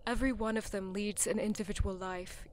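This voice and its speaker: An actress playing a melancholy, proud goddess of war in an audio drama, speaking in a measured, wistful way. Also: female voice, mid-range voice, steady tone, a little hiss or hum, close to an everyday voice. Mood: melancholy, teasing.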